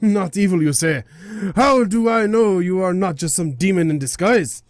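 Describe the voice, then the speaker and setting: A man's voice: high voice, moderate pace, dry recording, clean studio sound, pitched above the performer's own voice, close to an everyday voice. A man voicing a haughty, protective guardian god from a video game, scolding in formal, emphatic speech. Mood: scornful. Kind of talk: video game dialogue read aloud.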